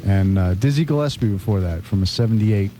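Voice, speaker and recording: A man's voice: lowish voice, animated, fairly quick, warm tone. A middle-aged American man chatting casually as a freeform radio DJ, telling stories in a wry, rambling, repetitive way. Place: radio studio. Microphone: studio broadcast microphone. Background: music playing.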